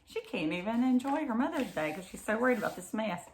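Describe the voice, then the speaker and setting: A woman's voice: fairly high pitch, echoey room, slight background noise. A woman reading a children's picture book aloud, expressively, with exclamations and chatty questions to her listeners. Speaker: read-aloud storyteller.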